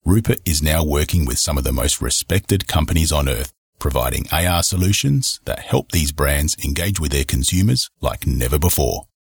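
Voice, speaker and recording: A man hosting a podcast, reading a formal, measured introduction and interviewing a guest. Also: very deep voice, expressive, gravelly, husky, dry recording, clean studio sound. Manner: formal, measured, read-out delivery. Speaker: podcast host.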